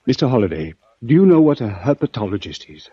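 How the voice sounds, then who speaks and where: An actor playing a desperate, earnest doctor and snake expert in an old-time radio drama, polite, halting and pleading. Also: male voice, mid-range voice, very animated, warm tone, husky, dry recording. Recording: dull, dry vintage radio recording.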